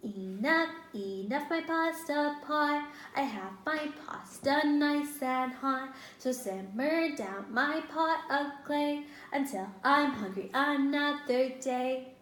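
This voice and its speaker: A woman voicing a foolish, eager young man in a storybook, loudly proclaiming and chanting. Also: mid-range voice, expressive, takes it slowly, hardly any pauses, voice pushed down. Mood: proud chanting. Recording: roomy, echoing indoor sound.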